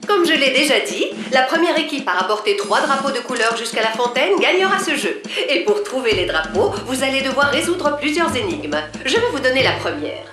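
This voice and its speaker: A woman's voice: high voice, sing-song, brisk, hardly any pauses. A woman playing a prim, pompous cartoon school director, enunciating ceremoniously. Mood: ceremonious announcing. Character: prim, theatrical adult woman school director laying down rules. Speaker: school headmistress character. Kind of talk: animated series dubbing, theatrical announcing. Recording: roomy echo, faint music behind.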